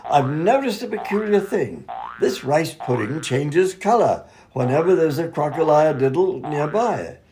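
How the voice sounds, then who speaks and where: An actor playing a vague, amiable, absent-minded doctor in a comedy radio play, dithering and trailing off. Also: male voice, a little high, animated, unhurried, background noise. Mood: earnest, vague.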